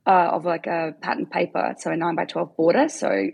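A woman's voice: on the low side, fairly quick, vocal fry, a little mellow, husky. A woman, chatting as a podcast guest, talking freely with frequent fillers and easy laughter. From South Africa, raised in Australia. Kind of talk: casual interview chat.

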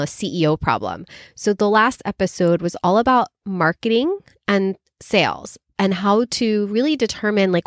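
A woman's voice: on the low side, no echo, clean studio sound. A woman hosting her podcast in a chatty, enthusiastic way, her voice a little nasal and scratchy as she recovers from illness. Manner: chatty and enthusiastic, with fillers like "you know". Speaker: podcast host and business coach. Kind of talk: casual solo podcast talk.

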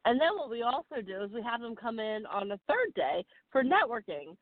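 A woman hosting a podcast interview in an excited, gushing manner. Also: on the high side, normal speed, dry recording. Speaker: podcast host. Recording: muffled phone-line sound.

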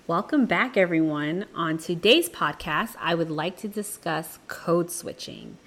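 A woman co-hosting a casual debate podcast, laughing often and shifting between quick and drawn-out phrasing. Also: on the low side, very animated, a bit bright. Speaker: podcast co-host.

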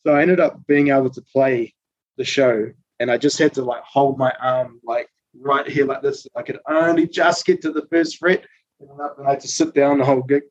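A man, a musician guest telling tour stories in casual interview chat, relaxed and full of ums and the odd swear. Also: medium pitch, normal speed, dry recording. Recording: slightly dull dry recording.

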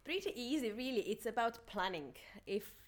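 A woman's voice: on the high side, sing-song, normal speed. A woman in her thirties from Slovakia, chatting casually as a guest in an interview. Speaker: podcast guest.

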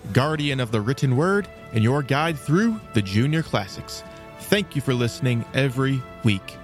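A man hosting and narrating a scripted children's storybook programme in a theatrical storytelling manner. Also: medium pitch. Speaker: host and narrator.

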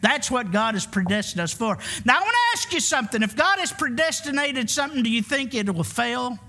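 A man's voice: extremely high pitch, lively intonation, fairly quick, pushed and bright. A man, preaching a sermon emphatically and calling for answers from the congregation. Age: 50s. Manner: emphatic, call-and-response preaching. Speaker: pastor. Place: church.

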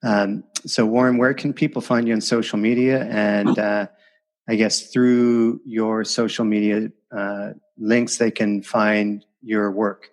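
A man in his forties from Canada hosting a podcast interview, telling stories in a relaxed, reflective way that trails off softly. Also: fairly low pitch, slow pace, poor audio. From Windsor, Ontario, Canada. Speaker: podcast host. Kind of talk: casual interview chat.

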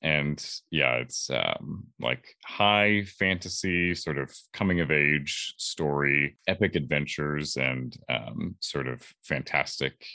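A man's voice: a bass voice, takes it slowly, creaky, hardly any pauses, pushed and bright, no room sound, studio quality. A young man, a voice actor, talking casually as a guest in an interview. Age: young adult.

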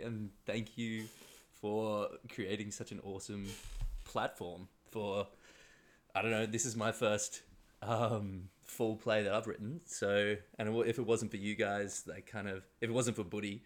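A man's voice: fairly low pitch, steady tone, moderate pace. A man from Australia chatting casually as a playwright guest on a podcast. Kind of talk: casual chat.

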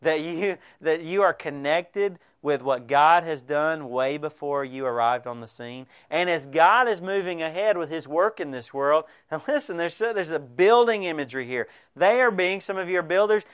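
A man's voice: on the high side, moderate pace, hardly any pauses, fairly bright tone, clear, resonant tone. A male pastor preaching a sermon, earnest and direct, addressing the congregation with frequent rhetorical questions.

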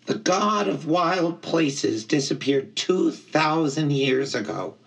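A man's voice: fairly high pitch, expressive, slow pace, creaky, slightly rough, pitched above the performer's own voice, full character voice. A man voicing an earnest, indignant young male satyr from a storybook, plaintive and emphatic as he lectures. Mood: solemn, reverent.

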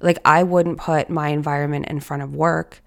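A woman in her thirties hosting a solo podcast, her voice raspy and hoarse, talking in a reflective, encouraging way straight to her listeners. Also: sits low, little pitch movement, normal speed. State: hoarse from allergies or illness. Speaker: podcast host.

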